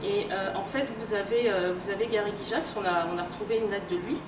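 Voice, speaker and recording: A woman's voice: mid-range voice, fast. A woman giving an informal conference talk in a conversational, hesitant way, with many fillers. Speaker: lecturer, games researcher and editor. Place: indoor convention talk room. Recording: roomy, noisy convention room, distant mic.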